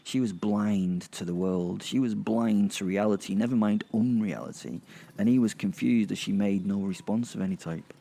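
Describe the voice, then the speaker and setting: Low, gravelly storyteller, sardonic. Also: male voice, mellow. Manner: wry, gleeful, halting for effect. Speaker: narrator of a horror audio drama. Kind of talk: first-person sardonic storytelling.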